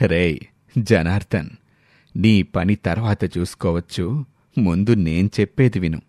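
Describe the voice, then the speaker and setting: A man voicing a stern, deep-voiced old grandfather. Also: unhurried, warm tone, dry recording, clean studio sound. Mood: gruff, pleased. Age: older. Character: stern old grandfather, wealthy, wounded and distrustful.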